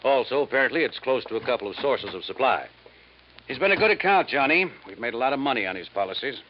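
An actor playing a brisk, matter-of-fact insurance man briefing an investigator in a 1950s radio drama. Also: mid-range voice, expressive, slight background noise, lightly acted. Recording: dull 1950s radio recording.

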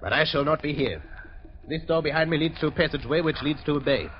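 An actor playing a cold-blooded, urbane doctor villain in an old-time radio drama, speaking with measured, polite menace and deliberate hesitations. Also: male voice, fairly high pitch, close to an everyday voice. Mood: gloating threat. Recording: dull, noisy old radio recording.

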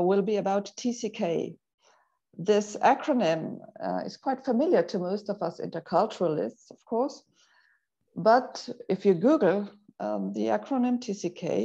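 A woman hosting an interview over a video call, her voice mellow and relaxed in tone. She asks her questions in a measured, formal way with occasional hesitations. The sound is dull and lo-fi. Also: medium pitch, vocal fry. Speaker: interviewer, board representative.

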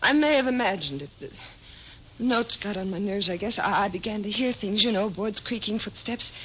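An actress playing a frightened, nervous woman with a secret, halting and stammering, in a 1950s radio drama. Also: medium pitch, animated, a bit bright, close to an everyday voice. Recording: muffled vintage radio recording, hissy.

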